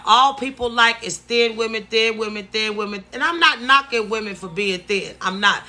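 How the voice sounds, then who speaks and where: A woman's voice: a little high, pushed and bright. A woman, talking casually to her viewers and stressing points by repeating words. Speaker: vlogger. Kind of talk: casual story-time talk to camera.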